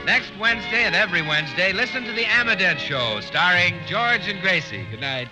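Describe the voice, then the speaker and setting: A man announcing credits and sponsors on a 1950 radio comedy in a smooth, upbeat delivery. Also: high voice, expressive, fairly quick, rough recording. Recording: old radio transcription with music.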